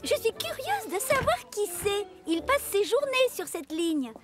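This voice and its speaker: Very high, fussy female train carriage. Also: very animated, unhurried, music in the background, exaggerated voice. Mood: curious. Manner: exclaiming, prim, complaining. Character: fussy chattering female railway carriages, prim, easily alarmed. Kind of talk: children's cartoon dub acting.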